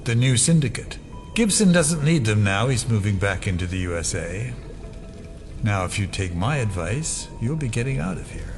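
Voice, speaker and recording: A man's voice: fairly low pitch, expressive, normal speed, faint music behind. An actor playing a sly, fatalistic cloned man, speaking in a casual, wry, teasing way in an audio drama. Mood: smug, resigned.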